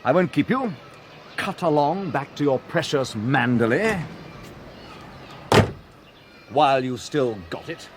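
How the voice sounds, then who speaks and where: An actor playing a bitter, taunting British blackmailer in a radio drama. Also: male voice, a little high, lively intonation, moderate pace, very noisy, natural voice. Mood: mocking, bitter. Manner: sardonic, taunting. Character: sneering, bitter male blackmailer with mocking bravado.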